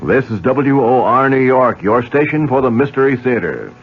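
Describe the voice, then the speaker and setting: A man's voice: mid-range voice, very animated, normal speed, heavy background noise. A man announcing on radio in a commercial style. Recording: dull old radio broadcast.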